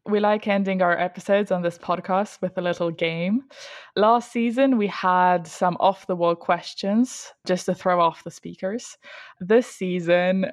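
A woman's voice: lowish voice, dry recording. Dry-sounding woman hosting a podcast. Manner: friendly, laughing often, asking follow-up questions. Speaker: podcast host. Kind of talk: podcast interview.

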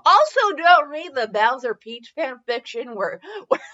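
A woman hosting a podcast with casual, humorous review chat, rambling and halting as she goes, often giggly. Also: high-pitched, big pitch swings, bright, forceful tone, highs cut off. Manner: giggly, frequently breaking into laughter; rambling, halting self-interrupted delivery. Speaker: podcast host.

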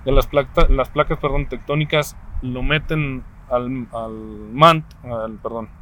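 A man in his thirties with a Mexican accent, thinking aloud and explaining ideas step by step, often pausing to find the word. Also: medium pitch, fairly even intonation, pushed and bright. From Mexico City, Mexico. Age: 30s. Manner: thoughtful, explains step by step with frequent hesitations. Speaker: interviewee. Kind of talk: casual conversation.

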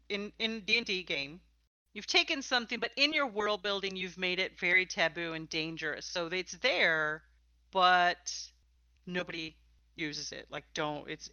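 Woman podcast host, bright forceful voice on the lower side, kinda dull dry recording. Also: normal speed, dry recording. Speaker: podcast host interviewing a guest. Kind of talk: casual live podcast interview.

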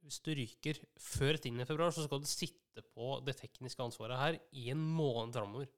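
A man hosting a casual podcast, often pausing mid-sentence as he talks. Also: medium pitch. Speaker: podcast host. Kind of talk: casual conversational podcast chat.

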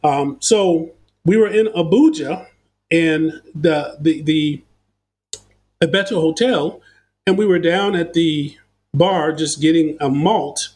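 Brief: American male guest, lively anecdotal style, slightly high voice. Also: slow pace. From United States. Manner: animated, uses analogies, repeats words when starting thoughts. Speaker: podcast guest. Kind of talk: conversational storytelling.

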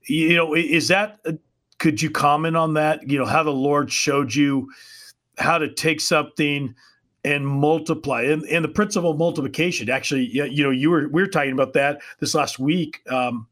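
A man asking unscripted interview questions in a halting, repetitive way. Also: fairly high pitch, steady tone, fairly bright tone.

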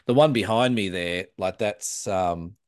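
Casting: low Australian male co-host, relaxed and joking, close dry mic. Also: measured pace, no room sound. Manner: easygoing, joking. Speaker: podcast co-host. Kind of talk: casual chat.

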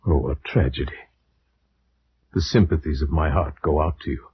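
An actor playing a grave, formal gentleman and loyal friend in measured 1940s gothic radio style. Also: very deep voice. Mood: concerned. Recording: muffled, noisy old radio recording.

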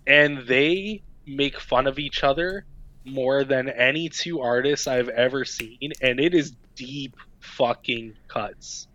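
Man, relaxed podcast chat, casual swearing, mid pitch, dry muffled sound. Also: takes it slowly. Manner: relaxed, profane. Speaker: podcast co-host.

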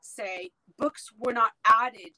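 A woman's voice: a little high, slow, sharp tone. A woman whose first language is Hebrew, talking bluntly and emphatically as a guest in a casual podcast conversation.

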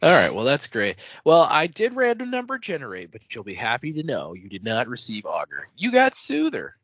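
A man's voice: huge pitch swings, brisk, a bit bright, no room sound, muffled recording. A man co-hosting a podcast, bantering in a playful, jokey way. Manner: playful, jokey, swings in pitch. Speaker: podcast co-host.

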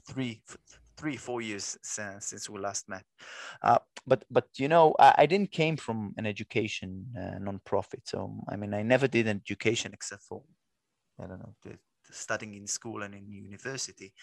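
A man's voice: lowish voice, creaky, no room sound. A man with an Israeli accent whose first language is Hebrew, a guest in an interview who talks with many restarts and repeated words. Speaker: guest, nonprofit leader. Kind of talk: podcast interview.

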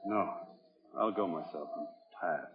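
An actor playing a stern, weary, commanding army doctor with a Southern US accent in an old film drama. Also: male voice, a little high, expressive, normal speed, big-room sound, natural voice. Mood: weary, bitter. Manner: forceful, clipped orders. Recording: muffled, narrow-band old film soundtrack.

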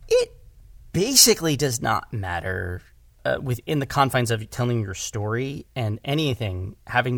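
Male podcast guest, medium pitch with heavy fry, conversational and rambling, dry recording. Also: dry recording. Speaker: podcast guest, audio drama writer and director. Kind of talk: casual conversational discussion.

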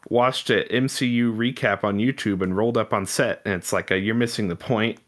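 A man with a fairly low voice hosts a casual podcast about film and TV. His delivery is informal and rambling, and he drops swear words freely as he goes. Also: moderate pace, a bit bright. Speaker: podcast host.

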